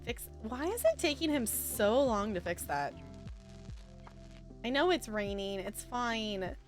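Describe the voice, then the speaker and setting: A woman's voice: on the high side, sing-song, slow pace, soft background music. A woman streaming a life simulation game with casual, rambling commentary, chatting to viewers and to the game's characters.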